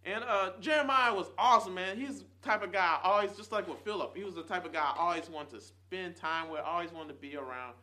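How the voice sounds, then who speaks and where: A man in his twenties talking casually and earnestly to his viewers, rambling and swinging between loud bursts and quiet trailing asides. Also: high voice, fast talker, few pauses. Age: 20s. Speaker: vlogger. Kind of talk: casual chat to camera.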